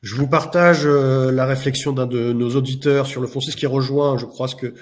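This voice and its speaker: A man moderating a web conference, relaying remarks in a measured, halting way. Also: mid-range voice, fairly even intonation.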